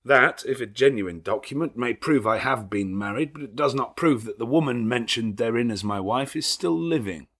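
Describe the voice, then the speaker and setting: A man voicing a proud, fierce middle-aged gentleman who makes curt, forceful demands. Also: mid-range voice, animated, normal speed, hardly any pauses, husky, voice pushed up. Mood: defiant.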